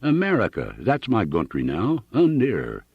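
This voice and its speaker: A sentimental, proud German immigrant farmer in middle age, as a male narrator puts him on, speaking thick German-accented broken English. The voice is deep and husky, with a lively rise and fall. The recording sounds dull and dry. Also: measured pace, dry recording, heavily acted. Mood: reminiscing.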